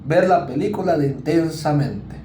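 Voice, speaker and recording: A man's voice: on the high side, noisy background. Mexican man lecturing in an echoey room. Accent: Mexican Spanish. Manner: conversational, acts out students and parents in quick asides. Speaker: university lecturer. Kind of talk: recorded psychology lecture.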